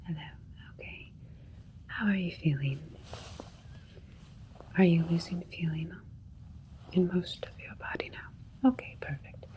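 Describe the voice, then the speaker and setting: A woman voicing a calm, clinical alien doctor in a sci-fi ASMR roleplay, whispering gently and soothingly. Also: fairly low pitch, lightly acted, low-quality recording. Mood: calm, reassuring. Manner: gentle, soothing, deliberate, hushed.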